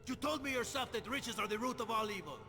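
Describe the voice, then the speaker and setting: Theatrical, gravelly villain. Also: male voice, very high-pitched, fast, pushed and bright, in a large hall, faint music behind, exaggerated voice. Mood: menacing. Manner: taunting, theatrical. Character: menacing male villain, coaxing, mocking, quick to rage. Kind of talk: video game cutscene acting.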